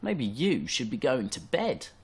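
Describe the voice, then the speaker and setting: Man putting on a chattering, lively girl squirrel. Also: medium pitch, measured pace, slightly rough, full character voice. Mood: teasing. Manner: chattering, teasing. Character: playful, energetic, chattering female squirrel.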